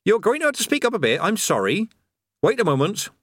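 A man's voice: sits high, wildly swooping pitch, fairly quick, fairly bright tone, no room sound, voice pushed up, exaggerated voice. A man voicing the cheerful, absent-minded creator of the universe, who rambles like a chatty tradesman. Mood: breezy. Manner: chatty, rambling, casual workman's talk.

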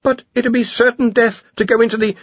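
A man's voice: very high-pitched, steady tone, a little fast, husky, dry recording, muffled recording, voice pushed up. A man voicing an anxious young wizard scholar, hesitant and politely deferential, in a storybook reading.

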